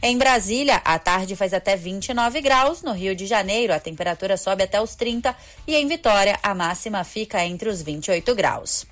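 A woman with a Brazilian Portuguese accent reading a scripted weather forecast in a steady newsreader manner. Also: medium pitch, normal speed, hardly any pauses. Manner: steady, even newsreader delivery. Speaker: weather reporter.

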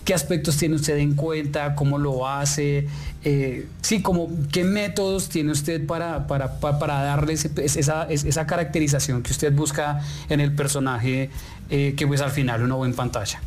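Hesitant man interviewer, higher voice. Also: steady tone, normal speed, darkish tone. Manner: hesitant, many fillers and restarts. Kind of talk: interview questions.

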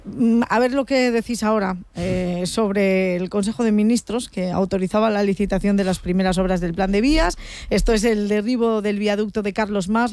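A woman's voice: medium pitch, a little mellow. A woman journalist moderating a casual discussion in a wry, informal way. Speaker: journalist hosting a panel discussion.

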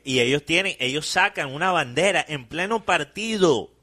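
Bright, forceful man. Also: on the high side, animated, moderate pace. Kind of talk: casual discussion.

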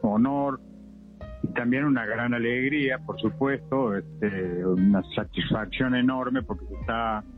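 Older man, measured, on a tinny phone line. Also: mid-range voice, soft background music. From Argentina. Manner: reflective, measured, with many hesitations. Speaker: guest, puppeteer. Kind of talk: radio interview answers.

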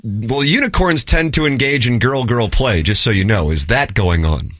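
A male radio co-host with a lively, up-and-down delivery, teasing and wisecracking with a caller. He talks into a studio mic, and the sound is dry and close. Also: medium pitch, normal speed, no echo. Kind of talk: casual radio talk.

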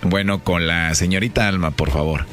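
A man playing a smooth-talking, flirty telemarketer with a chocolate promotion, in casual sales patter on a radio prank call. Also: low voice, steady tone, normal speed, fairly bright tone, soft background music. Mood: friendly pitch.

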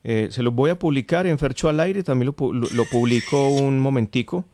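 A man's voice: medium pitch, a little fast, mellow, a little hiss or hum. A man with a Colombian accent hosting a radio show in an informal, chatty way, often repeating his phrases. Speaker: radio host. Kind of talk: casual late-night radio chat with listeners.

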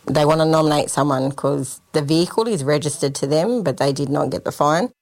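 Outreach worker, female, from Sydney: very low voice, casual and direct. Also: brisk, a little mellow, no echo. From Sydney, Australia. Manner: plain-spoken, informal. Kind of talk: radio interview answers.

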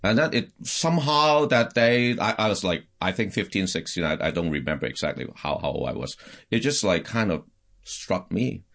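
A middle-aged pastor from Hong Kong, telling his life story in a casual interview, halting with many restarts. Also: deep voice, animated, fairly bright tone. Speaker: pastor and podcast guest.

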